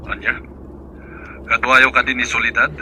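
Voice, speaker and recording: Radio drama father: commanding employer, intensely tense bright tone, mid pitch, slight noise. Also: completely flat delivery, normal speed, low-quality recording. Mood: urgent, commanding. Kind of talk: Ilocano radio drama acting.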